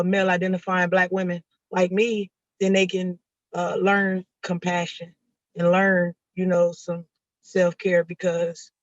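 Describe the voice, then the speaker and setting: A woman's voice: fairly low pitch, no room sound. Earnest woman on a muffled call. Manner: earnest, repeats phrases, many "you know" fillers. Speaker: panelist. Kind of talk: personal testimony on a panel.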